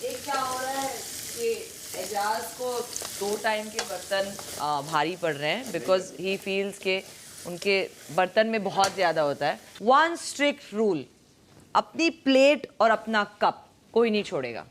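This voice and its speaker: A woman's voice: mid-range voice, animated, takes it slowly, background noise. A woman in casual conversation, firmly reasoning out household chores and affirming often. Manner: firm, reasoning, frequent affirmations.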